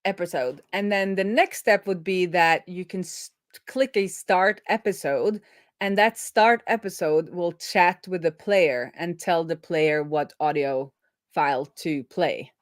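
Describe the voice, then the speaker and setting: A woman's voice: on the low side, slow pace, no room sound, studio quality. A woman explaining programming informally as a host, thinking aloud as she goes. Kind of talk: informal live coding explainer.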